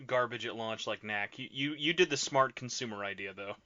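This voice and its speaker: A man's voice: medium pitch, a little fast, sharp tone. A man hosting a podcast, asking long rambling questions full of fillers. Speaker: podcast host. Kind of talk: casual podcast interview. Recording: dull lo-fi podcast audio.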